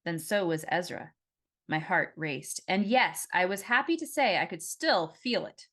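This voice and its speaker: A woman reading her own fiction audiobook as an expressive first-person narrator. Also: fairly low pitch, a little slow.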